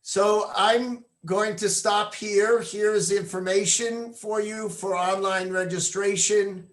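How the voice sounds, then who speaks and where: A man presenting a program and handing over to the next speaker in a measured, formal way in an online info session. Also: high-pitched, fairly even intonation, a bit bright. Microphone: video call.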